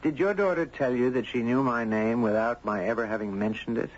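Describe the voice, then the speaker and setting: A man's voice: medium pitch, a little fast, close to an everyday voice. An actor playing an earnest, inquisitive man in a 1970s radio drama. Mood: puzzled. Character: earnest, inquisitive man, entranced by a strange girl. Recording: muffled, noisy 1970s radio recording.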